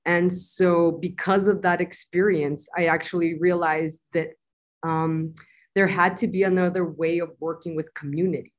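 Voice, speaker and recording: A woman from the US-Mexico border answers interview questions in a low, earnest voice. She is bilingual and talks in a measured way, pausing on small fillers as she explains her work. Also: level tone. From US-Mexico border, Nogales. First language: bilingual Spanish and English. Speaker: guest, community-engaged scientist.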